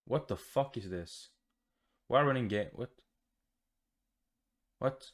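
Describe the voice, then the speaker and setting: A man's voice: mid-range voice, takes it slowly. A man giving casual, rambling let's play commentary, blunt and given to swearing. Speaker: gaming video host. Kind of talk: casual let's play commentary, reading game text aloud.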